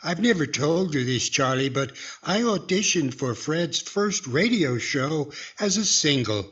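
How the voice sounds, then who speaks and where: A man's voice: fairly high pitch, lively intonation, unhurried, a bit bright, slightly rough, muffled recording, heavily acted. A man playing an eager, earnest ventriloquist auditioning in a 1940s-style radio comedy.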